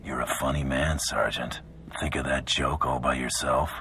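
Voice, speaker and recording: A very deep-voiced gruff bounty hunter. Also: male voice, fairly even intonation, moderate pace, sharp tone, full character voice. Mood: sardonic. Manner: terse, dry and sarcastic. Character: gruff mercenary bounty hunter, blunt and unimpressed. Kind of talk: video game cutscene acting.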